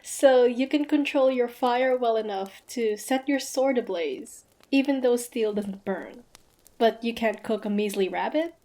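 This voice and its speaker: A woman's voice: fairly high pitch, unhurried, warm tone, lightly acted. A woman playing a proud, suspicious young female hunter in a fantasy audio roleplay, guarded and easily flustered, with a grudging tsundere streak. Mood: teasing.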